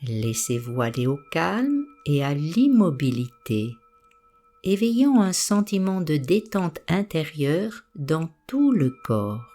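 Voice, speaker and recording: Low, slow, mellow woman guiding meditation. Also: sing-song, soft background music. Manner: soothing, gentle, unhurried instruction. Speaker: meditation guide. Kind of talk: guided meditation narration.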